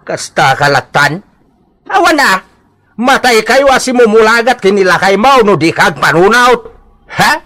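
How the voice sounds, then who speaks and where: A nagging Filipino family man with an Ilocano accent. His voice is fairly high and jumps up and down as he grumbles and exclaims in radio comedy style. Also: very animated, measured pace, bright, forceful tone, heavily acted. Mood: scolding, incredulous. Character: grumbling, scolding Filipino husband and father.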